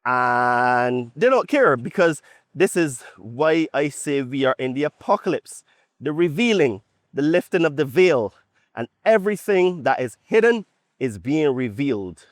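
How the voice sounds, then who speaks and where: A man's voice: on the high side, very animated, unhurried, no room sound, clean studio sound. A man from Barbados with a Bajan accent gives an opinionated podcast monologue, conversational and emphatic, often checking his points with a tag question. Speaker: podcast host.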